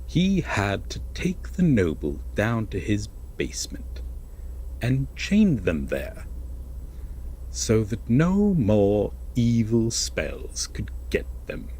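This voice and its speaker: A man playing an obsessive, lovesick captor prince in an audio roleplay, telling a dramatic bedtime fairytale in a halting, hushed, breathy voice. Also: on the low side, wildly swooping pitch, a little hiss or hum, exaggerated voice, low-quality recording. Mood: anguished justifying. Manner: halting, hushed, wistful, with heavy pauses.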